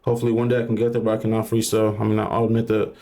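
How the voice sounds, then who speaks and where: Young man, rapper guest, casual slangy chat, low pitch, quick delivery. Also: monotone, dark tone. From Southern California. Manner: laid-back slangy talk with many fillers and repeats. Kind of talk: casual podcast interview.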